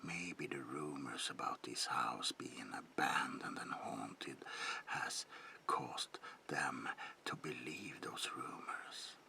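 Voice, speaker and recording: A very low, gravelly whisper from an eerie old vampire, acted out by a man in an ASMR roleplay. He speaks slowly and with old-fashioned courtesy, a lonely recluse whose politeness carries menace. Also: bright, forceful tone, full character voice. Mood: brooding, sly. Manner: slow, murmured, old-fashioned phrasing, lingering repetitions.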